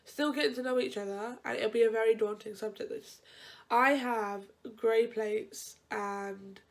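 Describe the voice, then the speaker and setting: A woman's voice: a little high, steady tone, measured pace. A young woman vlogger with a blocked-up nose, chatting in a rambling way and often correcting herself as she gives advice about university. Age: young adult. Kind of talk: casual advice vlog.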